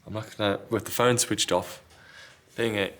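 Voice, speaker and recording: A man's voice: lowish voice, fairly even intonation, moderate pace, gravelly, fairly bright tone. A man talking casually and thinking aloud as he presents a hands-on repair tutorial. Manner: unscripted, thinking aloud while working. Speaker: repair technician, video host. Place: indoors at a repair workbench.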